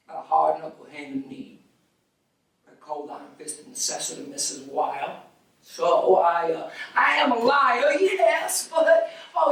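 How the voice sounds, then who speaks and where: A man playing a shabby, impassioned, self-deluding writer on stage, with florid, halting theatrical speech. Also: high-pitched, sharp tone, exaggerated voice. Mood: outraged. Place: small theater studio, live performance. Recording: echoey stage, distant mic.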